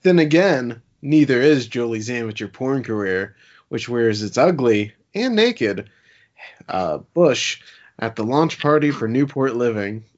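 A man's voice: mid-range voice, animated, unhurried, darkish tone, dull audio. A man co-hosting a podcast in casual banter about television. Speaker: podcast co-host. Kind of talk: casual banter, some read-out synopsis.

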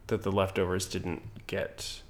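A man's voice: deep voice, monotone, unhurried. A man co-hosting a podcast, chatting casually and jokingly about a favorite television performance. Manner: joking, rambling. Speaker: podcast co-host.

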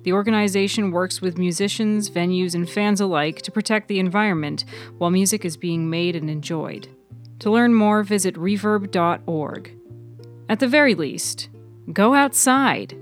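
A woman's voice: medium pitch, normal speed, faint music behind. A woman reading scripted narration as the narrator of a fiction podcast.

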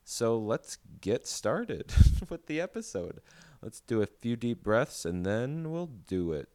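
A man's voice: medium pitch, sing-song, takes it slowly, a little mellow. A man hosting a podcast who speaks earnestly and reflectively. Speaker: podcast host. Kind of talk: podcast intro and casual chat.

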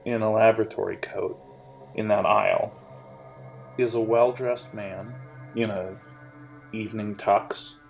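A man narrating as game master of a tabletop role-playing game, describing scenes in a halting, lively way. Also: lowish voice, gravelly, soft background music.

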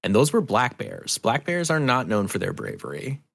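A man talking casually as a podcast guest, telling anecdotes in an informal, halting way. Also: medium pitch, sing-song, gravelly, fairly bright tone, no room sound. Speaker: podcast guest, audio drama creator. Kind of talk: casual conversational storytelling.

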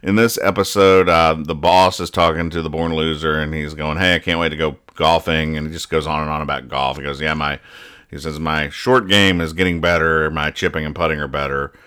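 Low-voiced man with bright tone. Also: flat delivery, brisk, clean tone. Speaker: host of a comics-reading podcast. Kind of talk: casual comedic chat, reading and explaining comic strips.

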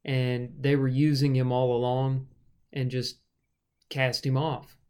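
A man teaching the Bible in a conversational, measured way, moving between explanation and reading verses aloud. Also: mid-range voice, flat delivery, darkish tone, clean tone. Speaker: Bible study podcast host and teacher.

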